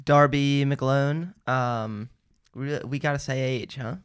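Smooth-voiced man in his late twenties. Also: medium pitch, steady tone, takes it slowly, dry recording, studio quality. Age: late 20s. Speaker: guitarist, guest.

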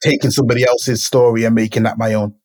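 A man's voice: mid-range voice, level tone, quick pace, a little mellow. A man, talking reflectively and searching thoughtfully for his words. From Manchester, England. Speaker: poet, guest. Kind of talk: reflective conversation.

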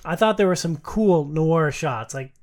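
A man's voice: fairly high pitch, lively intonation, a little slow, a little mellow. A man hosting a podcast, talking casually about movies and sometimes reading from notes. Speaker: podcast host. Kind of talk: casual movie discussion with read-out review quotes.

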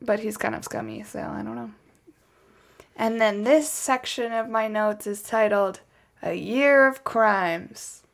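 Chatty woman with a creaky voice. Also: fairly high pitch, unhurried. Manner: chatty, wry asides. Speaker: podcast host. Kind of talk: casual true crime storytelling from notes.

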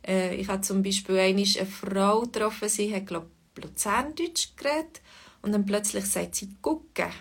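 Woman, Swiss German dialect mix, casual teacher, thoughtful pauses. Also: medium pitch, husky. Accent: Swiss German, Bernese-Lucerne mix. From Switzerland. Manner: thoughtful, many pauses and fillers. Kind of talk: relaxed spoken lesson.

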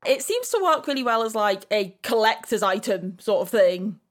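A woman's voice: mid-range voice, expressive, moderate pace. A woman co-hosting a podcast in a casual, chatty style. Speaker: podcast co-host.

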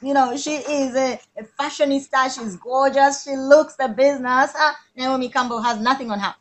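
A woman's voice: high voice, steady tone, moderate pace. A woman hosting a live online interview, effusive and full of praise for her guest. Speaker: host.

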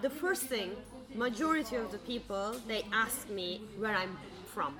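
A woman co-hosting a podcast in casual conversation, chatty and quick to laugh. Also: medium pitch, expressive, over music. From outside France. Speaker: podcast co-host.

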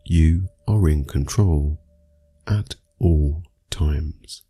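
A man narrating a scripted guided meditation for sleep, speaking soothingly and in measured phrases with long pauses. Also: rumbling low, mellow, slightly rough.

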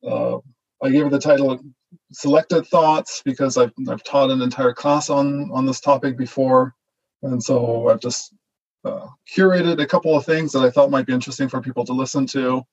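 A man whose first language is German, with a mixed German-Canadian accent, giving a relaxed online lecture full of fillers and restarts. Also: medium pitch, normal speed, dull audio. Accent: German-Canadian mix. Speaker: guest lecturer, associate professor.

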